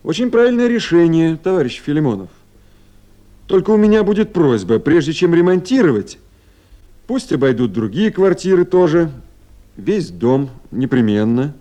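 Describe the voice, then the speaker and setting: An actor playing a calm male Soviet Party official in a radio play, measured and firmly instructing. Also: fairly high pitch.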